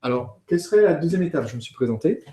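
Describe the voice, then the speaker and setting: A man's voice: on the high side, animated, quick pace, warm tone, slight background noise. A man hosting a business training course, explaining and asking questions in a conversational way as he thinks aloud. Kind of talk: conversational teaching, interviewing a colleague.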